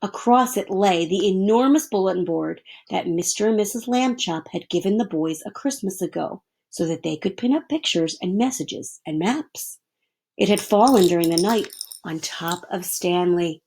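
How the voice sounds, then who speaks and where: A woman's voice: mid-range voice, animated, normal speed, darkish tone, breathy edge. A woman reading a children's storybook aloud like a teacher, explaining things simply for young listeners. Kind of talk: storybook read-aloud with plain asides.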